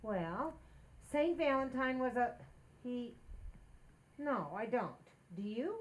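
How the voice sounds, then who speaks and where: Expressive high-voiced woman playing a romantic radio housewife. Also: takes it slowly. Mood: pleading, romantic. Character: Liz, hinting, romantic, exasperated young housewife. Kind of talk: old-time radio comedy acting.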